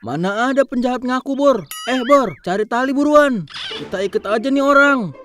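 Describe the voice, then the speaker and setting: Excitable, accusing cartoon vigilante by a man. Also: very high voice, moderate pace. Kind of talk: comic cartoon dubbing.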